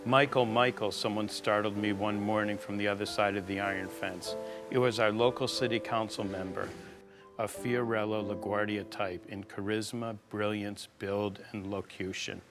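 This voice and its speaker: A man with a low voice narrates a memoir from a prepared text. Plucked guitar music plays clearly behind him. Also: level tone, moderate pace. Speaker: reader of a memoir. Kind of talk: scripted narration, audiobook reading.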